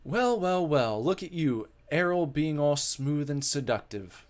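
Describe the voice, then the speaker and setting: A man's voice: on the high side, sing-song, measured pace, darkish tone. A man voicing a blunt, teasing friend in a visual novel.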